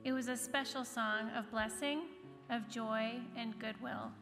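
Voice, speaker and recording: A woman's voice: on the high side, steady tone, unhurried. A woman reading a children's storybook aloud as narrator in a large church space. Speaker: story reader. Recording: reverberant hall, music behind the voice. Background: soft instrumental music.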